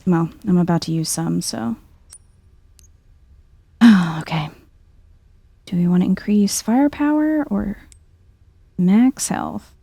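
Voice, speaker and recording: A soft-spoken woman giving chill, half-whispered ASMR commentary on a video game, thinking aloud as she plays. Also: medium pitch, slow, vocal fry, long pauses, dry recording.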